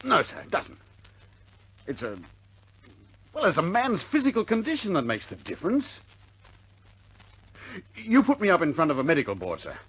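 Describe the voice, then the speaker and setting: A man's voice: high-pitched, huge pitch swings, moderate pace, pauses often, a bit bright, husky, heavily acted, low-quality recording. An actor playing a plucky, stubborn young RAF bomber airman in a 1950s British war film, blunt and informal in manner. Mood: pleading protest.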